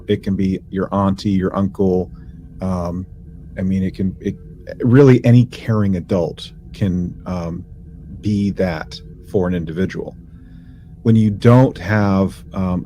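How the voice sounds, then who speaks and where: Low-voiced man chatting on a podcast. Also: dark tone, slight background noise. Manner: halting, informal, slangy. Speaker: podcast co-host. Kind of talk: casual explanatory podcast chat.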